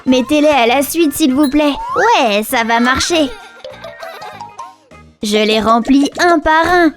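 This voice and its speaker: A woman voicing an excitable little lion cub boy in rhyming, exclamatory lines for a children's cartoon. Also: sits high, takes it slowly. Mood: eager, exclamatory. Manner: exclamatory, sing-song rhyming. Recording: children's music behind the voice. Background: children's music.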